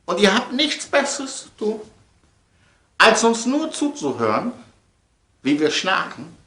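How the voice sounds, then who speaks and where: Bright, echoey middle-aged man rambling emphatically. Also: high voice, wildly swooping pitch, slow. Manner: emphatic, theatrical, repeats phrases. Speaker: vlogger and audio play producer. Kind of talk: casual, rambling monologue to viewers. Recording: echoey room.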